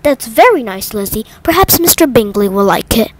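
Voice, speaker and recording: A woman's voice: fairly high pitch, very animated, moderate pace, background noise. A woman voicing a teasing, amused father mouse in a storybook, speaking dryly. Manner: dry, teasing.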